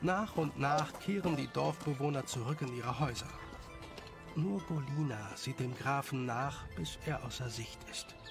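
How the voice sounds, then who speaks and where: A man's voice: medium pitch, measured pace, creaky. A man narrating a scripted story in the present tense as its storyteller. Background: music.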